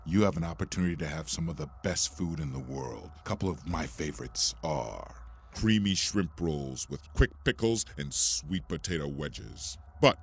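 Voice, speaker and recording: Deep, husky man reading an ad. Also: expressive, normal speed. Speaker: podcast host reading sponsor message. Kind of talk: scripted ad read.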